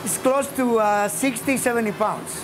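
A man's voice: very high voice, moderate pace, low-quality recording. A middle-aged man who owns a market, talking in a plain, friendly way with some hesitant fillers, on a lapel mic in a store and kitchen. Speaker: market owner. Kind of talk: casual interview. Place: grocery store and restaurant kitchen.

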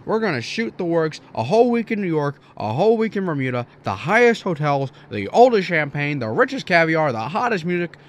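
An actor playing an earnest, flustered, stubborn young small-town man in a radio drama, with a halting, stammering delivery. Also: on the high side, big pitch swings. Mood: excited, happy. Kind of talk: old-fashioned radio drama acting.

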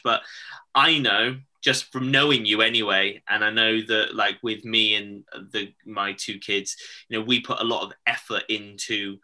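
A man's voice: lowish voice, pushed and bright. A man in his thirties hosting a casual video-call chat, rambling and laughing often. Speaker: podcast host. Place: home room, indoors.

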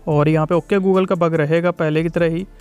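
A man's voice: a little high, level tone, brisk, darkish tone, clean tone, faint music behind. A man giving a casual, repetitive tech review voice-over, mixing in English tech terms.

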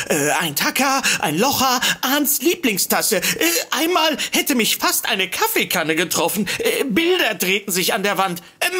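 An actress playing a fussy, apologetic secretary in a comedic audio drama, stammering and rambling nervously. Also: female voice, on the high side, a bit bright, breathy edge, exaggerated voice. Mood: anxious, fussy. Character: flustered, apologetic, fussy secretary seeking help.

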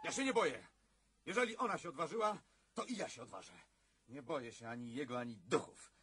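Brave young lover, radio drama, urgent, husky, lively intonation. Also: male voice, fairly high pitch, fairly quick, exaggerated voice. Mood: defiant. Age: young adult. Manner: urgent, insistent. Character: impulsive, stubbornly brave young man in love.

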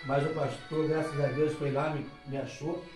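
A middle-aged Brazilian man shares an informal testimony in halting, conversational phrases. His voice sits rather high for a man. It is picked up from across a small living room, with noticeable room echo. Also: level tone, recorded from a distance, music in the background. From Rio de Janeiro, Brazil. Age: middle-aged, around 50s. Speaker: worshipper giving testimony, gospel songwriter. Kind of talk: informal spoken testimony at a home worship gathering. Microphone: phone held a few metres away. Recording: roomy phone recording from across the room.

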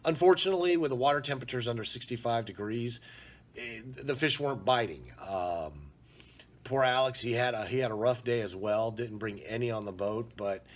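A man in his forties giving a relaxed, casual vlog recap from a small room at home, speaking into a webcam. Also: medium pitch, fairly quick. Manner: easygoing, relaxed talk with fillers. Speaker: vlogger. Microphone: webcam at arm's length.

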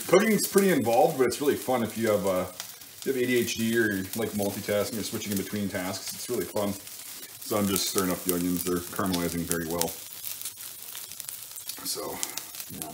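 Low-voiced man chatting while he cooks. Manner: informal, thinking aloud, self-correcting. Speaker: home cook hosting a cooking show. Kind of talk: casual cooking commentary while working. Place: home kitchen at the stove. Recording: clear frying sizzle and kitchen noise. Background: sizzling pan and stirring sounds.

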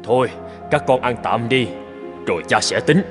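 A man playing a burly old fisherman father, anxious and regretful, in an animated fairy tale. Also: medium pitch, normal speed, soft background music, lightly acted. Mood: weary.

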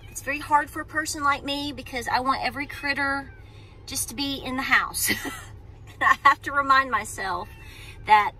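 A woman talks straight into her phone from a parked car, her voice high and bright. She rambles in a friendly, chatty way, looping back and restarting her thoughts. Faint background noise hums along under her voice. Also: normal speed, rough recording. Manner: chatty, rambling, self-interrupting. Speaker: homestead vlogger. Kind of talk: casual talk to camera. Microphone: phone camera at arm's length.

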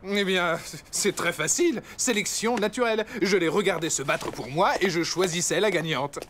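An actor voicing a smug, oblivious middle-aged dad in a cartoon, speaking in a self-satisfied manner. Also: male voice, sits high, moderate pace, slight background noise. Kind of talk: animated cartoon dubbing. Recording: loud music under the voice.

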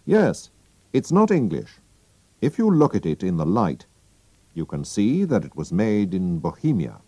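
A sharp, calm detective , as a man reads him aloud speaks in a brisk, sure-of-himself way. The voice sits clearly higher than the reader's own and moves up and down a great deal. It is a storybook reading. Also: dry recording, full character voice. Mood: assured.

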